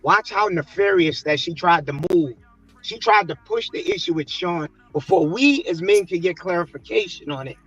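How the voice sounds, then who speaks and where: A man's voice: high voice, moderate pace, a bit bright, slightly rough, no echo, muffled recording, faint music behind. A man arguing insistently in a heated panel debate, pressing his point.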